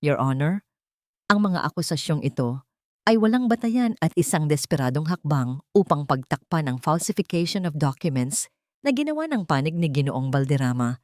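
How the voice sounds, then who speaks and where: A woman's voice: low-pitched, lively intonation, moderate pace, darkish tone, no echo, clean studio sound. A woman voicing a steadfast male defense attorney, formal and assertive, in an audio drama. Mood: firm.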